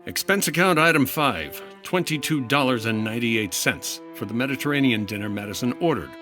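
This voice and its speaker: Hard-boiled radio investigator: dry, clipped, wry, very animated mid-range voice, faint music underneath. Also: male voice, mid-range voice, a little fast. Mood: dry, businesslike. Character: hard-boiled 1950s insurance investigator, wry, persistent man. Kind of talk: 1950s radio detective acting and narration.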